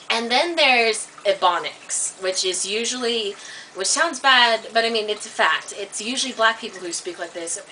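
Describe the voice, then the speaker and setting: A woman vlogging in a casual, rambling monologue to camera, full of "like" and "um", getting animated and high when worked up. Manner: chatty, filler-heavy, many "like" and "um" restarts. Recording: distant webcam mic with faint hiss.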